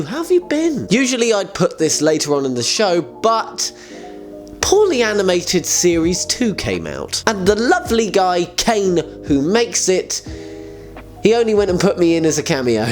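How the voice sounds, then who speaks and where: A young man hosting a homemade fan show in casual chat. He sounds slightly nasal and congested from a cold, laughs at his own jokes, and his voice sits a little high. Soft music plays faintly underneath. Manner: chatty, self-mocking, laughs often. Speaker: host of a fan community show. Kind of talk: casual solo video chat.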